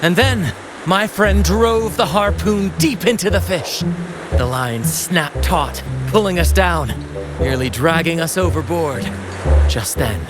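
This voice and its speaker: An actor playing a flamboyant, charming young troupe captain in a video game, speaking like a grandiose storyteller. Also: male voice, a little high. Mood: dramatic storytelling. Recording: loud music under the voice.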